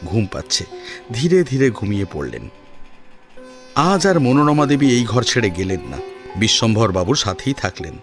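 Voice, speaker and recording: A man's voice: mid-range voice, big pitch swings, moderate pace, long pauses. A man narrating a scripted audio drama in a dramatic storytelling style. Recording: music under the voice.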